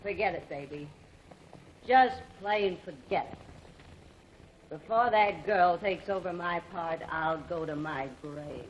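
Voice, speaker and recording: An actress playing a vain, temperamental stage star in a 1940s radio drama, imperious and quick to rage. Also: female voice, fairly low pitch. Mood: furious. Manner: imperious, haughty, flares into shouting rage. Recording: muffled 1940s radio broadcast, faint hiss.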